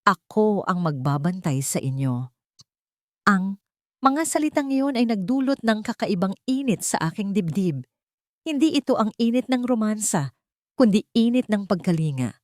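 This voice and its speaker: A woman's voice: mid-range voice, darkish tone, no room sound, clean studio sound. A woman narrating a scripted first-person story in an earnest, dramatic storytelling manner.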